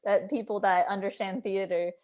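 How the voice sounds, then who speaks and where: A woman's voice: medium pitch, fairly even intonation, normal speed, darkish tone. A woman hosting a casual interview, talking conversationally with her guests. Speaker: host.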